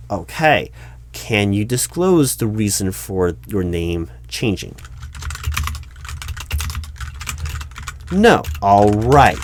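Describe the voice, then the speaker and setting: Man acting, baffled male recruiting manager, lowish pitch, halting stammer, exasperated, spoken-aloud ASMR interview roleplay. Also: slight background noise. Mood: puzzled, probing. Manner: halting, stammering, repeating words. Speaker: roleplay performer.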